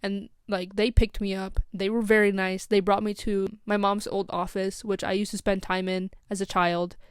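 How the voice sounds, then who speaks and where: A woman's voice: medium pitch, level tone, moderate pace, smooth, no room sound, studio quality. A young woman with an American accent telling a casual, rambling story as a vlogger, full of "like" and "um". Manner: rambling, scatterbrained, lots of "like" and "um".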